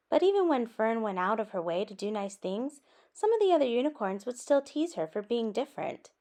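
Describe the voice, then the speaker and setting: A woman reading a children's story aloud in an expressive way, with animated asides addressed to young listeners. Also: on the high side. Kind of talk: expressive picture-book read-aloud.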